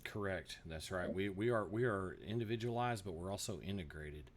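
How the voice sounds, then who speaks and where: Interview host, man with a lowish voice, easy affirming manner. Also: normal speed, slight background noise. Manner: affirming, prompts with questions. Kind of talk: casual interview.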